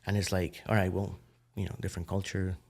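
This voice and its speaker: A man from Colombia in his thirties with a Colombian accent, a podcast guest telling his story in a relaxed, animated way. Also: sits low, quick pace. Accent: Colombian Spanish. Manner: relaxed, animated storytelling with frequent "you know" and "dude". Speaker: podcast guest, biotech founder. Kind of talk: casual conversational interview.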